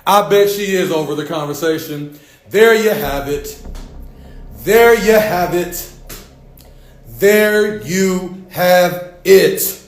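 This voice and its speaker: A man with a fairly high voice comments off the cuff in an echoey room. He takes his time, halting and repeating phrases for emphasis. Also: faint music behind. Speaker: commentator on a talk channel. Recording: roomy, echoing sound.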